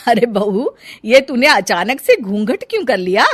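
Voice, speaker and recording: A woman's voice: on the high side, very animated, normal speed, breathy edge. A woman playing a bossy, suspicious middle-aged mother-in-law in a family story, scolding and firing exclamatory questions. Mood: suspicious, questioning. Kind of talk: dramatized Hindi family storybook acting.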